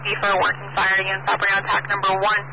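A woman dispatches fire crews in a very even, unvarying tone. Her phrasing is clipped and procedural. The two-way radio audio is dull, with clear noise throughout. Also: mid-range voice, racing. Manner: procedural, confirms messages back. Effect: two-way radio transmission.

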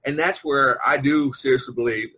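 An older man with a husky, unpolished voice chats casually as host of an artists' group. He rambles along in a conversational way, dropping in "you know" often, over an online call. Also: medium pitch, fairly even intonation, fairly quick, pushed and bright, no echo, poor audio. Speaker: artist hosting a group podcast. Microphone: online video conference call.

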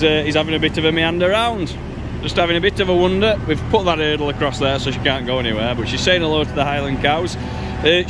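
A man, chatting casually with fillers as he films himself around a farmyard and cattle barn. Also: fairly high pitch. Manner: chatty, relaxed, with many fillers. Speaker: farmer and presenter. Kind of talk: casual vlog narration. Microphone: handheld selfie camera. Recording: outdoor noise, machinery nearby.

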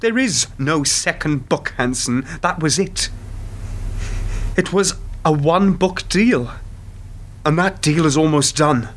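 Radio drama: weary male intelligence insider acted by a man, guarded, slightly high-set voice, very unhurried. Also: lively intonation, echoey room, far from the mic. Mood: indignant.

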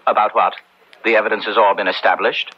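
A man playing a smug titled colonial gentleman in old radio drama style, unctuous and formal. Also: lowish voice, little pitch movement, fast talker, husky, highs cut off. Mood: ominous.

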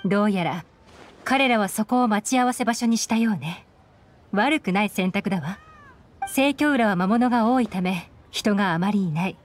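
A woman's voice: medium pitch, moderate pace, slight background noise, close to an everyday voice. A woman playing a composed, shrewd young intelligence chief who speaks with authority in an anime game. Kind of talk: Japanese anime game voice acting. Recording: game music behind.

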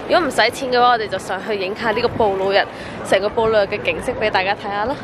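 A woman's voice: medium pitch, racing. A young woman with a Hong Kong Cantonese accent, chatting upbeat to a handheld camera while walking through an old town. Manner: chatty, upbeat, lots of filler particles. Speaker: travel vlogger. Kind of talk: casual travel vlog to camera. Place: outdoors in old town streets and squares. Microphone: camera held at arm's length.

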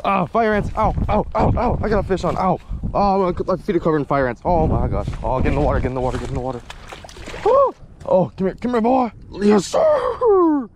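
A young adult man chatting casually to viewers in a slangy, excitable outdoor vlog style. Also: high voice, brisk. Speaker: fishing vlogger. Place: outdoors beside a pond. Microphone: chest-mounted action camera or camera close in front. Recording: outdoor ambient noise on action camera.

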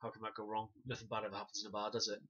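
A man's voice: lowish voice, rapid-fire, breathy edge. Man, dry wry webcam review. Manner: animated, gestures and demonstrates punches; wry, sarcastic asides. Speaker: self-defence instructor reviewing a documentary. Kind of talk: casual to-camera review. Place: small room indoors, banner behind. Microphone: webcam at desk distance. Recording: dull lo-fi webcam audio.

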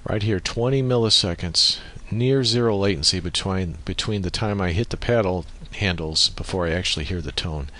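A man giving an informal software tutorial, explaining methodically and thinking aloud as he works through the steps. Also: low-pitched, moderate pace, hardly any pauses. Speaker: tutorial presenter. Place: indoors at a computer, voice-over on screen recording.

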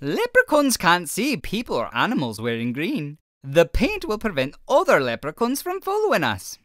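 A woman's voice: on the low side, wildly swooping pitch, normal speed, a bit bright, no echo, studio quality. A woman voicing a friendly male leprechaun shoemaker with a playful brogue-style way of talking, in a children's storybook read-aloud. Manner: welcoming, explaining patiently.

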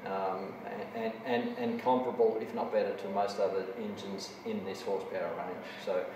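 A middle-aged mechanic explaining an outboard engine on camera, hesitant with many ums and technical detail. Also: male voice, fairly low pitch, level tone, normal speed. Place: indoor workshop beside a boat. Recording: echoey workshop, distant mic, noisy.

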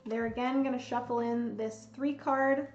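A young woman, losing her voice, slowly talks herself through a solo board game at home, counting out pieces as she plays. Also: fairly high pitch, steady tone, slight background noise. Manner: slow, thinking aloud, counting pieces. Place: home room with bookshelves, indoors. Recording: roomy, slightly dull sound, soft music.

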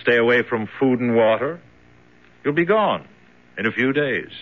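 An actor playing a cold, fatalistic captor in a radio drama, speaking in measured, brooding pronouncements. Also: male voice, medium pitch, lively intonation, natural voice. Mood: coldly knowing. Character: cold, fatalistic male captor watching his prisoner fade. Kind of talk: 1970s radio drama acting. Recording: muffled 1970s radio recording.

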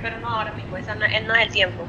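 A woman's voice: medium pitch, fairly even intonation, very fast, sharp tone, muffled recording, soft background music. A woman with a Panamanian Spanish accent in a casual phone conversation, speaking bluntly and asking pointed questions. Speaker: friend called on the phone.

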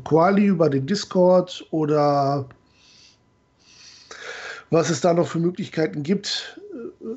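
A man explaining things casually and haltingly as co-host of a livestream. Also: fairly high pitch, darkish tone. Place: room at home, on a group video call. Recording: slightly dull voice-chat sound.

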